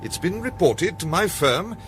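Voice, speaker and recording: Casting: urbane English amateur detective, cleverly smug and polished, higher-pitched, swooping delivery, radio mystery. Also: male voice, very animated, unhurried, slight background noise. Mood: brisk, probing. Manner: polished, theatrical, articulate. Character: urbane, self-assured gentleman amateur detective, pleased with his cleverness. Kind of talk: British radio drama acting.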